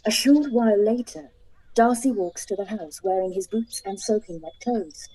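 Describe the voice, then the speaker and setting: Calm woman reading stage directions. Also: medium pitch, normal speed, husky, soft background music, poor audio. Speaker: narrator.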